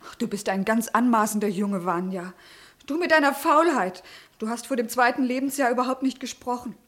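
Woman playing young daughter, fairly high voice, girlish, chatty, affectionate, teasing, radio drama. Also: brisk, a bit bright, natural voice. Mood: playful teasing. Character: Jessica, affectionate, playful young daughter and sister. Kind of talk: German radio drama acting.

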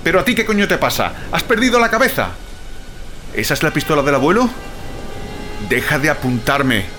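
A man's voice: on the high side, big pitch swings, brisk, bright, forceful tone. A male actor with a Spanish accent from Spain playing an alarmed, defiant, sarcastic brother in an audio drama. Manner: agitated, incredulous, sarcastic. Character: alarmed, defiant, sarcastic younger-generation brother, bewildered and foul-mouthed. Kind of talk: Spanish audio fiction acting with inner narration. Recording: dramatic music bed under the voice.

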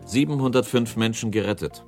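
Low-pitched sober male documentary narrator. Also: fairly quick, over music. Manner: sober, factual. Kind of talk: scripted documentary narration in a radio play.

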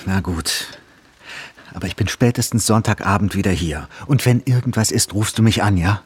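A man playing a caring, worried companion in a naturalistic radio drama, speaking in a measured, gently concerned way. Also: fairly low pitch, breathy edge, no room sound. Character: Max, worried, caring male companion of an actress. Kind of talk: naturalistic German radio play acting.

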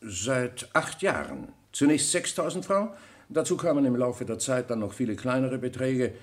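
A man's voice: mid-range voice, expressive, moderate pace, natural voice. A man playing a self-important, fussy banker giving formal testimony in a German radio play. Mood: precise, formal. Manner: formal, fussy, easily affronted. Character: fussy, self-important, hurried male banker called as witness.

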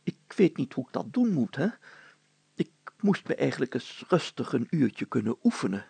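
Guy doing a flustered older mayor for a kids story, creaky husky voice, hems and haws, clears throat, self-pitying. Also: mid-range voice, big pitch swings, normal speed, dark tone, no echo, voice pushed up, exaggerated voice. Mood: sheepish, resigned. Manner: hesitant, hemming and hawing, throat-clearing. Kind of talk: acted children's story.

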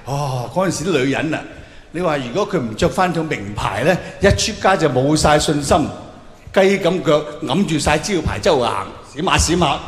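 A man with a Hong Kong Cantonese accent performing an animated, colloquial stand-up monologue to a live audience, acting out bits of dialogue. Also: a little high, moderate pace. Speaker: stand-up comedian. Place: large indoor venue with long reverb. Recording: echoey hall, live stage, faint noise.

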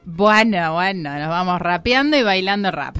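A woman's voice: on the low side, lively intonation, moderate pace, over loud music. A woman co-hosting a radio talk show, playful as she announces upcoming segments. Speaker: radio co-host. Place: radio studio.

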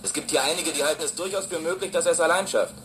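An actor playing a matter-of-fact, collegial radio operator at an Earth station in a German radio drama. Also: male voice, fairly high pitch, very fast, a crowd in the background, lightly acted.

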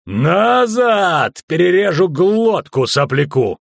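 A man voicing a gruff, impatient, threatening guard ruffian who barks commands in an audiobook story. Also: medium pitch, expressive, normal speed, no room sound, clean studio sound. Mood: furious.

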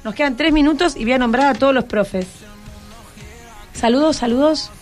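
Music plays softly under a woman hosting a radio interview. Her voice sits a little high and carries a Rioplatense Argentine accent. She chats casually and encouragingly, with plenty of short, informal questions. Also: moderate pace. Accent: Rioplatense Argentine Spanish. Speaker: radio host. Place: radio programme recording.

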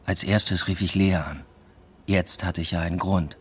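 A man playing an introspective, uneasy academic who narrates his own story in a measured, subdued radio drama style. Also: sits low, fairly even intonation, husky. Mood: brooding, reflective. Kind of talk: literary first-person radio drama narration.

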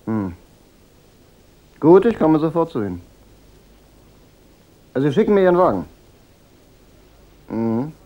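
An actor playing an unflappable, wisecracking private detective in a German radio crime drama, dry and laconic. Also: male voice, on the high side, big pitch swings, slow pace, pauses often, highs cut off. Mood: businesslike. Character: wry, unflappable hard-boiled male private detective. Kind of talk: German radio play acting.

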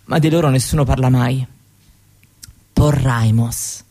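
A woman's voice: a bass voice, measured pace, warm tone, heavily acted. An actress playing a young Roma woman who shyly tells her grandmother's story in a hesitant, conversational theatre monologue. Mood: grave, sorrowful. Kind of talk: theatrical monologue told as spoken testimony.

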